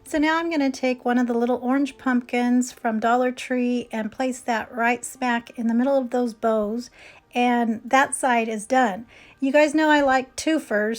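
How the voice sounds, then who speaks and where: Chatty woman, slightly hoarse. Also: on the high side. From California. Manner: chatty, step-by-step explaining. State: slightly hoarse, losing her voice. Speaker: DIY craft channel host. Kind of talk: casual tutorial voice-over.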